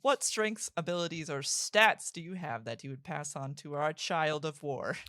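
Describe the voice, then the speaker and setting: A woman's voice: sits low, moderate pace, a bit bright, no echo, clean studio sound. A woman guest on a casual podcast, giggly and playful. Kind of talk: casual chat.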